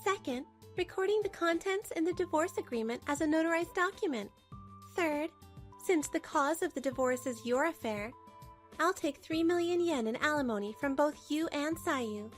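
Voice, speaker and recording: A woman's voice: high voice, expressive, normal speed, soft background music. A woman playing a wronged, pragmatic wife who narrates her marriage troubles expressively in a manga dub. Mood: firm, controlled. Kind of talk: dramatic first-person narration for a manga dub.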